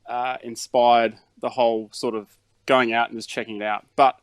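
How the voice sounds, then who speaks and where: A man's voice: medium pitch, level tone, moderate pace, clear, resonant tone, no room sound. Laid-back Danish-born man telling stories. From Denmark. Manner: laid-back storytelling with fillers and chuckles. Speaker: interview guest, YouTuber. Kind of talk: casual chat.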